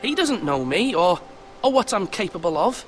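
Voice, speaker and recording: An actor playing a gentle, youthful teenage lord in a fantasy game, with a soft-hearted manner. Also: male voice, high voice, big pitch swings, normal speed, soft background music. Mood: stung. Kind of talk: dramatic fantasy game acting.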